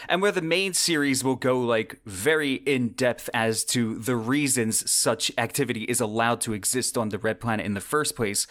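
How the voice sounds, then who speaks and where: A man's voice: mid-range voice, fairly bright tone. Conversational male podcast host giving commentary. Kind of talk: semi-scripted book commentary monologue.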